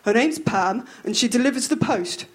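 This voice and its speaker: Woman voicing stubborn man, flat delivery, level tone. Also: a little high, moderate pace, lightly acted. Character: stubborn plain-spoken man Roy.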